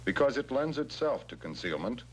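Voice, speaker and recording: An American man giving measured, instructional narration in the scripted style of a 1960s military training film, stressing key words. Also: medium pitch, sing-song. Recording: noisy 1960s film soundtrack with hum.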